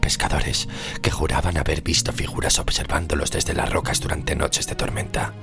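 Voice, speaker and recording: A haunted old-world sailor, played by a male actor, recounts his survival in an audio horror drama. His voice is rock-bottom low and heavy with vocal fry. He speaks gravely and deliberately, sinking into near-whispers over clearly audible music. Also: steady tone, full character voice. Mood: haunted, brooding. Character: haunted, scarred 16th-century sailor, outcast survivor telling his story. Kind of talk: first-person narration in an audio horror drama.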